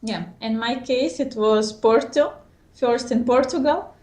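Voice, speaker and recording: A woman's voice: a little high, a little mellow. A woman in her thirties with a Russian accent, speaking hesitantly in a casual interview. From Siberia, Russia. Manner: hesitant, fills pauses with "uh" and "well". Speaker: master's graduate. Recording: echoey room.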